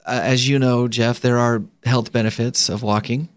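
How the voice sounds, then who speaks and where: A man's voice: mid-range voice, little pitch movement, normal speed, clear, resonant tone, dry recording. A man hosting a radio show in a studio, chatting casually with plenty of fillers, restarts and easy laughter. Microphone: studio microphone.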